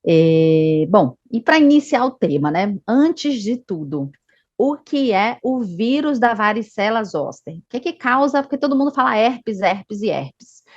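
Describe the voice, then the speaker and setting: A woman's voice: fairly high pitch, sing-song, measured pace, no echo, muffled recording. A woman from Salvador with a Northeastern Brazilian Bahia accent, giving an online health presentation on a video call. She explains patiently and keeps adding the tag question "né". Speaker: medical education manager presenting.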